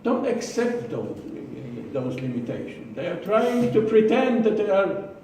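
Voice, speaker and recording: A man with an Israeli accent gives a prepared speech at a slow, measured pace, pausing and repeating words as he goes. His voice is mellow and a little higher than most men's. It sounds recorded from across an echoey meeting room, with a faint hum of the room behind him. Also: sing-song, far from the mic. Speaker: club speaker.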